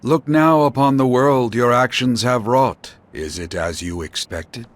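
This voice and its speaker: A man's voice: medium pitch, a little slow, close to an everyday voice. A man playing an enigmatic, knowing guide in a video game, with formal archaic diction and a theatrical, portentous delivery. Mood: musing, taunting. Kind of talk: dramatic video game cutscene acting. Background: game music.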